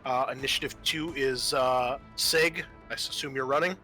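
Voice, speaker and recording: A man acting as game master, narrating a tabletop roleplaying session in a halting, improvised way with many fillers. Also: mid-range voice, steady tone, pushed and bright, soft background music.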